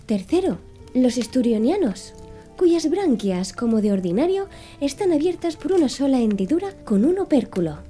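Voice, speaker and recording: A woman voicing a pedantic young male servant in an audiobook, reciting long classifications with calm impassivity. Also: high voice, normal speed, soft background music. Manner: impassive, reciting lists precisely.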